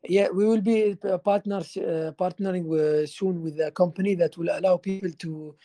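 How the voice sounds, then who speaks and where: A man with a high, mellow voice answers questions in halting fashion, stumbling over restarts and fillers. The audio is close and dry. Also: fairly even intonation, no echo. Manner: hesitant, halting, many fillers. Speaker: crypto project team member answering questions. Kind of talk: casual Q&A answers.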